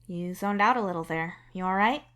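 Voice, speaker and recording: Young male alien caretaker played by a woman, casual, expressive, wryly protective audio-story role. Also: lowish voice, a little fast, close to an everyday voice. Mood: gentle, casual.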